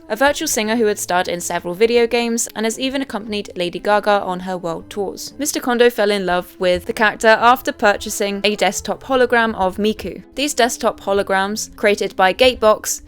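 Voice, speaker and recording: A woman's voice: mid-range voice, fairly quick, few pauses. A young adult woman hosting a video essay, reading scripted commentary with wry, conversational asides.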